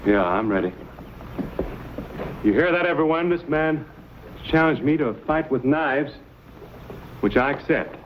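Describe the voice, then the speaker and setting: An actor playing a bold, confident young frontier knife fighter in an old-time radio western. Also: male voice, on the high side, lively intonation, unhurried, pauses often, slight background noise. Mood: defiant. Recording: muffled old radio recording, loud music behind.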